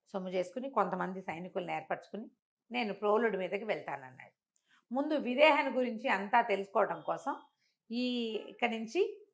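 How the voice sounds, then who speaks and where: An older woman telling a traditional moral story in a conversational interview, acting out the characters' speech with lively jumps in voice. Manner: animated, acts out quoted speech with big pitch jumps.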